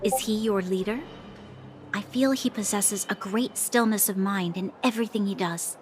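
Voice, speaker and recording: An actress playing an earnest, dutiful young female officer in a video game, speaking in a measured, formal, reflective way. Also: medium pitch, close to an everyday voice. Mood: thoughtful, curious. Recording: faint music underneath.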